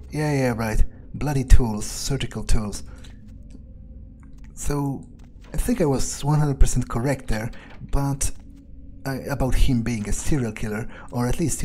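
A man with a mellow, dark voice thinks aloud as his game character. He rambles hesitantly and swears casually, with faint game ambience behind him. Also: medium pitch, slight background noise. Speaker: let's player.

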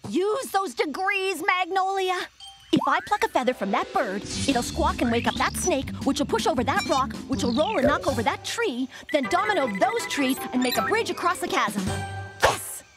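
A frantic young woman scientist from a jungle cartoon, very high and wildly swooping in pitch. She is plucky but easily exasperated and delivers everything melodramatically over loud cartoon music. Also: lively intonation, moderate pace. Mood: determined. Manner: melodramatic exclamations and muttering to herself. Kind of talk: animated cartoon comedy acting.

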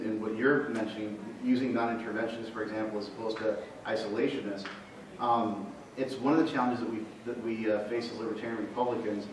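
Panelist, male, measured delivery, roomy distant sound. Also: fairly low pitch, slight background noise. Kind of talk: panel discussion. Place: large conference room. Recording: echoey room, far from mic.

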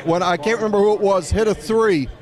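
A man's voice: high-pitched, fast, a faint crowd. A middle-aged man hosting a casual postgame sports talk show on a handheld mic in an arena. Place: on the court of a large indoor arena. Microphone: handheld microphone.

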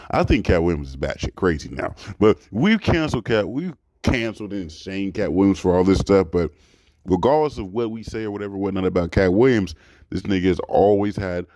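A young man with a deep, very low voice talks straight into his desk mic from a small dark room, and the sound is dry and close. He rambles in an animated, emphatic way, swearing freely as he reacts in casual commentary. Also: no echo. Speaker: reaction host.

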